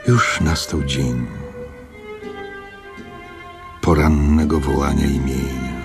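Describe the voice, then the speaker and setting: Very deep, slow old innkeeper. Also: male voice, steady tone, over music. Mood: penitent. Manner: solemn, measured. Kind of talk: Polish radio drama narration and monologue.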